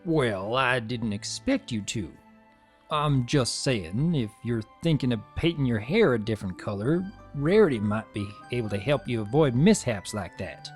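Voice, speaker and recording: Guy doing a plain spoken country pony fella, folksy drawl, kindly and unhurried, cartoon style. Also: mid-range voice, animated, faint music behind, natural voice. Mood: gentle, advising. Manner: folksy rural drawl, laconic. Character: easygoing male farm stallion, plain-spoken, kindly. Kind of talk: dramatic cartoon fan-fiction reading.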